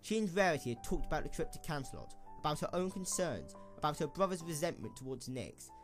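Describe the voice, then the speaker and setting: A man narrating a scripted story as an expressive storyteller for an audio drama. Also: on the high side, quick pace, faint music behind.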